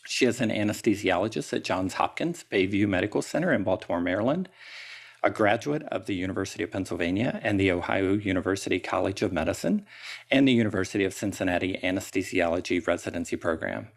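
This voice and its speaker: A man hosting a podcast, reading a measured, upbeat introduction and then asking interview questions. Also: sits low, animated, creaky. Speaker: podcast host.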